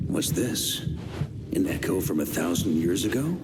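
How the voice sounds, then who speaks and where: An actor playing an easygoing, confident veteran knight commander in a video game, breezy and wry. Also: male voice, low voice, animated, measured pace, background noise. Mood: wry, alert. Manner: breezy, self-assured, wry.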